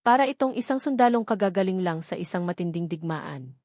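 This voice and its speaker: A woman's voice: on the low side, expressive, brisk, darkish tone, dry recording, studio quality. A woman reading a scripted, dramatic story as its narrator.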